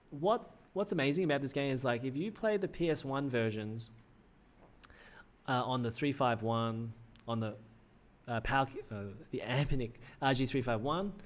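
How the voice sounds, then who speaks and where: Male streamer, 30s, mid-pitched, chatty and rambling, voice slightly worn. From Australia. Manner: rambling, self-correcting, frequent "uh" fillers. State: slightly losing his voice. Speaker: livestream host. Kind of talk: casual livestream commentary.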